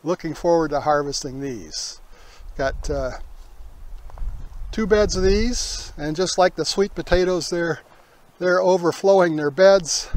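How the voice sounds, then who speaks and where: Higher-pitched older man. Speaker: gardening vlog host. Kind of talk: casual garden tour talk. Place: outdoors in a backyard garden.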